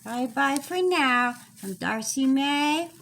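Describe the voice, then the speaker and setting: A woman reading her own rhyming children's story in a deliberate, singsong storytelling manner. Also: high-pitched, expressive, gravelly, fairly bright tone, a little hiss or hum.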